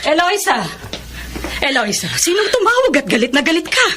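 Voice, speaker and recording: A woman's voice: very high-pitched, big pitch swings, normal speed, a bit bright, slight background noise, exaggerated voice. A woman acting a concerned, reproving older aunt in a Filipino radio drama.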